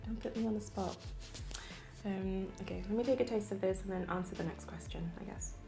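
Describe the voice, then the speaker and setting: A woman's voice: medium pitch, fairly quick, creaky, warm tone, echoey room, soft background music. A young woman from Nigeria chatting casually while she eats, with plenty of ums. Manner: chatty, eating between lines, many ums. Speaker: food vlogger. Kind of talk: casual mukbang chat.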